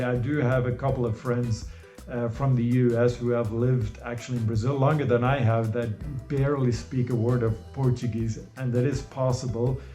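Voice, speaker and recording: Mellow-voiced man. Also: medium pitch, reverberant. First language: Norwegian. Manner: loose and repetitive, full of "you know" and restarts. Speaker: host. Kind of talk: casual advice monologue.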